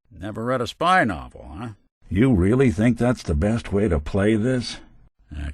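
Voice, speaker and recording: A man's voice: on the low side, no room sound. An actor playing a world-weary male synth detective in a video game, delivering dry, sardonic quips in a noir drawl. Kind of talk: video game companion voice lines. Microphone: close studio mic.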